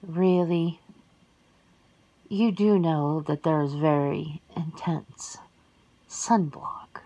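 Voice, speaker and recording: A woman voicing a centuries-old vampire sister in an ASMR roleplay, cool and worldly, measured and often slipping into whispers. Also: low-pitched, mellow, muffled recording, heavily acted. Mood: cool, weary. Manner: measured, breathy, dropping into whispers.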